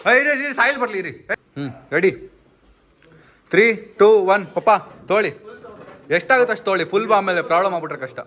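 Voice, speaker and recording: A man hosting a casual family vlog, excitable and playful, often repeating himself as he gives instructions. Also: high voice, quick pace, long pauses. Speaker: vlog host organizing a family challenge. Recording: chatter of other people behind.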